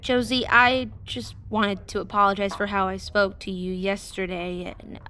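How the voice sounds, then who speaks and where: A woman's voice: medium pitch, unhurried, bright, forceful tone, slight background noise. A woman playing an earnest, curious young girl who sketches, in an audio drama. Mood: insistent. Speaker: voice actor.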